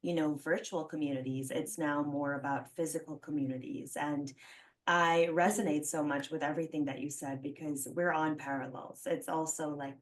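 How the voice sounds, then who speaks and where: Low-voiced woman host, slightly distant mic. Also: fairly even intonation, moderate pace. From Philippines. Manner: chatty, warm reflections full of "like" fillers. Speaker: podcast host. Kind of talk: casual conversational interview.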